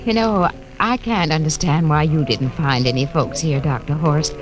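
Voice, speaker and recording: A woman's voice: sits low, normal speed, soft background music, natural voice, low-quality recording. An actress playing a doting, fussing mother in a 1950s radio drama.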